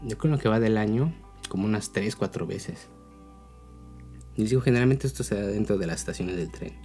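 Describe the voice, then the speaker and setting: A man's voice: on the low side, moderate pace, warm tone, soft background music. A man with a Mexican accent giving a casual, explanatory opinion talk as a video blogger, often checking in with tag questions.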